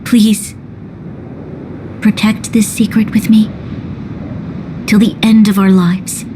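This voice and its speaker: An actress playing a grave, dutiful young woman leader in a video game cutscene, speaking in a solemn, formal, measured way. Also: medium pitch, level tone, background noise, lightly acted. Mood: solemn, determined. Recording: orchestral music behind the voice.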